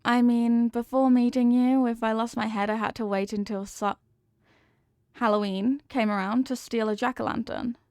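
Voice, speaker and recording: A woman's voice: fairly high pitch, steady tone, moderate pace, a little mellow, no echo, clean studio sound, close to an everyday voice. A woman playing a sweet, devoted headless horsewoman girlfriend in an intimate ASMR roleplay, affectionate, playful and gushing. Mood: tender, wistful.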